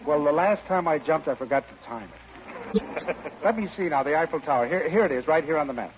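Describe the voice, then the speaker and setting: A charming, flirtatious man-about-town, played by an actor in a vintage radio comedy. His voice is a little high, and he banters playfully and smoothly. The sound is dull, with faint noise. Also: expressive, a little fast, natural voice. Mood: amused, flirtatious. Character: suave, flirtatious Parisian playboy, playful and charming. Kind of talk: old-time radio comedy acting.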